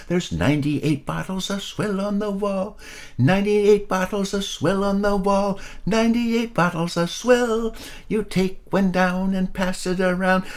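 A tiny male pig with a squeaky little voice, pitched far above an ordinary man's. He stays calm and stubborn and sings in a steady sing-song. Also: exaggerated voice. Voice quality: squeaky, high.

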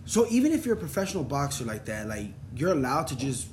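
Young guy interviewer, easygoing and slangy, mid pitch, with faint background ambience. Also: sing-song, fast talker, a little mellow, a little hiss or hum. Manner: laid-back, agreeable, slangy. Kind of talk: casual interview talk.

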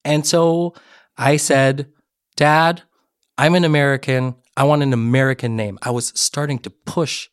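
A middle-aged man, a podcast guest, tells a personal story earnestly in a relaxed interview and often restarts his sentences. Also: medium pitch, measured pace, clean tone, dry recording, studio quality. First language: Korean. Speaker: podcast guest, education advisor. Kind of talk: conversational interview.

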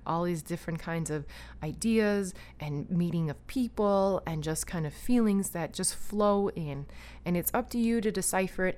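A woman's voice: fairly low pitch, darkish tone, clean tone. A woman hosting a talk show, speaking in an enthusiastic, rambling way with many fillers and restarts. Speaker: podcast host. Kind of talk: casual spiritual talk, guided breathing.

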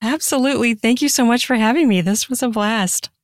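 A woman's voice: on the high side, brisk, dry recording, studio quality. A woman giving casual, conversational answers as an interview guest. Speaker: podcast guest, author.

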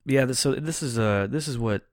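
A man's voice: mid-range voice, moderate pace, no room sound, studio quality. A man co-hosting a podcast, chatting casually with crude, sarcastic humor and frequent laughter. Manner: sarcastic, profane, laughing often. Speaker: podcast co-host.

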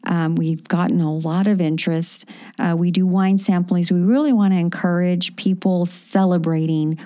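A woman's voice: lowish voice, fairly even intonation, moderate pace, warm tone. A woman hosting a radio talk show, chatting in an upbeat, promotional way.

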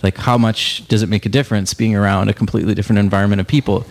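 A man's voice: on the low side, fairly even intonation, rapid-fire, creaky. A man hosting a community radio show, speaking in a laid-back, rambling way with many fillers. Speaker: radio host.

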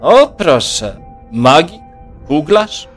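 A man's voice: a little high, very animated, very slow, faint music behind, full character voice. A man playing a haughty, shrewd margrave who commands a merchant caravan in a fantasy audio drama. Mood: mocking.

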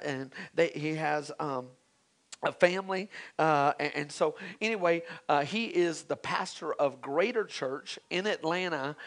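A male pastor introducing a guest preacher, energetic and rallying the congregation. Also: fairly high pitch. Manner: energetic, hypes the crowd.

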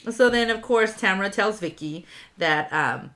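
A woman's voice: mid-range voice, moderate pace, pushed and bright. A woman co-hosting a podcast, a little congested in the nose. She talks in loose, casual chat, full of ums, likes and easy swearing. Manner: chatty, swears freely, frequent ums and likes. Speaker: podcast co-host recapping a reality show.

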